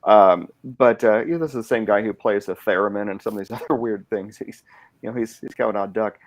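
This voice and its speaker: A man's voice: fairly low pitch, fairly quick. A man hosting a podcast who talks in a chatty way and explains things at length. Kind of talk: casual podcast chat.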